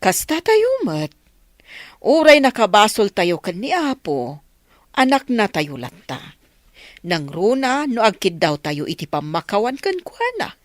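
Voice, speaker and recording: Actress doing forgiving religious mom in a radio drama, swoopy pitch, gentle pleading, dry sound. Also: female voice, huge pitch swings, measured pace, no room sound. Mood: persuasive. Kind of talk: Ilocano radio drama acting.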